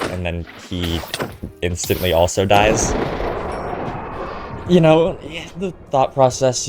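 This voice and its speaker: A young man talks over his gameplay in an easygoing, meandering way, his voice sitting very low and sounding blocked up from a cold. Music plays clearly behind the commentary. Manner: casual, filler-heavy, chatty. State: stuffy nose from a cold. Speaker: gaming commentator.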